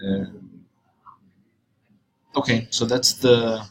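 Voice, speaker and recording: A young man giving an informal screencast walkthrough, explaining in a halting, loose way as he goes. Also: mid-range voice, steady tone. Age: young adult. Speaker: software walkthrough presenter. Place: indoors, at a computer. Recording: clearly noisy screencast recording.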